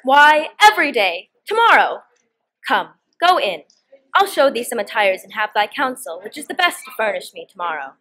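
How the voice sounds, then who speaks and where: A woman's voice: fairly high pitch, sing-song, moderate pace, bright, forceful tone, exaggerated voice. A teenage girl playing a scheming young noblewoman in a student performance of Shakespeare, with a declamatory delivery. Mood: scheming, brisk. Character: Hero, scheming young noblewoman feigning disdain for her cousin.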